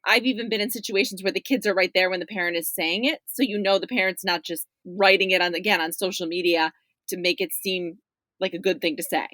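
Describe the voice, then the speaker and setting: A woman's voice: lowish voice, fairly even intonation, fairly quick, fairly bright tone, no echo. A middle-aged woman talking as a podcast guest in a chatty, emphatic way, often checking in with "right?". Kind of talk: conversational discussion of parents' views.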